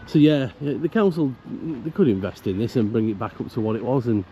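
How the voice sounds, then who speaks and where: A man narrating a casual walk around a park fishing lake, croaky from illness, chatty and grumbling about the council. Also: mid-range voice, fairly quick, mellow, highs cut off. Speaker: angler vlogger. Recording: outdoor camera audio with faint background noise.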